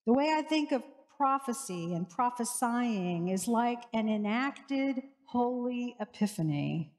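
Woman pastor, forties, measured sermon with repeated phrases, headset mic, reverberant church hall. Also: fairly high pitch, animated, darkish tone. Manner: slow, measured preaching with rhythmic repetition. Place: church sanctuary with large lake-view windows.

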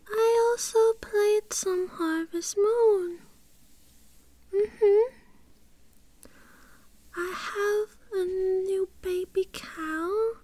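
Shy, cutesy British girlfriend for ASMR roleplay: extremely high, very slow, soft and whispery. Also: dry recording, exaggerated voice. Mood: sweet, content. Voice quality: soft, breathy, often whispery. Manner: timid, cutesy, hesitant. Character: shy, childlike, sweet submissive young girlfriend. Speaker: audio roleplay performer.

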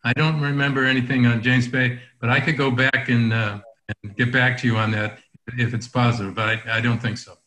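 A man presents his favorite map over a video call, hesitant and full of fillers. His voice is bright and pushed forward, and the room behind him echoes. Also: medium pitch, level tone, fairly quick. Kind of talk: online lecture. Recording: roomy echo on call audio.

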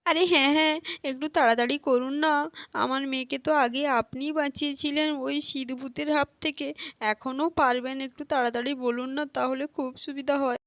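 A woman's voice: high-pitched, steady tone, a little fast, a bit bright, no room sound. A woman voicing a worried village mother, anxious and pleading, in a children's puppet story.

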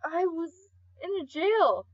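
A woman voicing a gentle, tearful, kindhearted young male skeleton guardian in an earnest, pleading comic dub. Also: very high-pitched, sing-song, slow, full character voice. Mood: hurt, reproachful. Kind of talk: amateur comic dub acting. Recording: dull lo-fi home recording.

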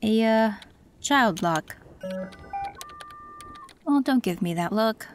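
A woman voicing a female cyberpunk tech who works on an android, calm and matter-of-fact, in an audio roleplay. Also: a little high, slow pace, background noise.

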